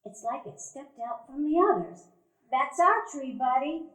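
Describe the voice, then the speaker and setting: A woman's voice: high-pitched, expressive, unhurried. A woman playing a fond, folksy old cousin. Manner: gentle, folksy, affectionate. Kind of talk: live radio play acting. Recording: roomy live stage recording.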